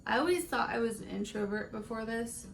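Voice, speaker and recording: A raspy woman in her late twenties with a gravelly edge, chatting her way through a makeup routine. She rambles from tip to story and laughs in between, with quiet music playing behind her. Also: fairly high pitch, brisk. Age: late 20s. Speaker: beauty vlogger. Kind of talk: casual chatty makeup tutorial.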